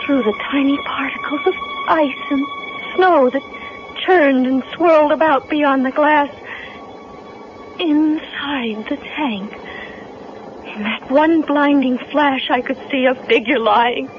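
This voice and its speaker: An actress playing a frightened young captive woman in a 1970s radio drama, halting and dramatic in delivery. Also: high-pitched, big pitch swings, noisy background, exaggerated voice. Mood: haunted, dread. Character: frightened, drugged young woman held captive, narrating her nightmare. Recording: muffled vintage radio recording with music.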